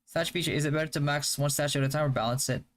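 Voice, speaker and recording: A young man from the Philippines whose first language is Hiligaynon, chatting casually on a live stream and answering viewer questions in a relaxed, stop-start way. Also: on the high side, racing. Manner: relaxed, stop-start, with frequent fillers and restarts. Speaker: game streamer.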